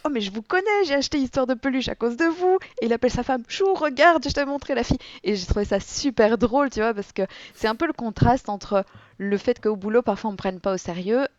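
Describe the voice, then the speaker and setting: A young woman guest telling stories and explaining her work in a relaxed podcast interview, with animated storytelling. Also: on the high side, a little fast, no room sound. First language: French. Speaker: guest, board game content creator. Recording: dull, dry lo-fi audio.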